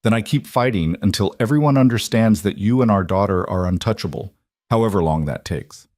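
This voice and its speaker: A man voicing a cold, determined mafia boss who is protective of his family, with intense, measured delivery in an audiobook. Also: fairly low pitch, a little mellow, no room sound, studio quality, close to an everyday voice. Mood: cold, resolute. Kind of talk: audiobook character dialogue.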